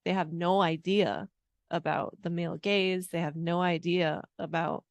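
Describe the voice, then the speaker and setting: A woman with a fairly low voice, talking loosely on a podcast and rambling through her ideas. Her speech is full of "like" and "you know". The recording is dry and close, with no room sound. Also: steady tone, measured pace, vocal fry, no room sound. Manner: rambling, filled with "like". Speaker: podcast guest, intuitive mentor. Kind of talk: casual conversation.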